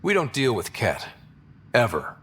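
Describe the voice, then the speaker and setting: An actor playing a young, principled and wary space explorer who leads a team in a video game, speaking plainly but with resolve. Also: male voice, medium pitch, huge pitch swings, measured pace, a bit bright, slight background noise. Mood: wary, defiant. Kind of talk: video game character acting.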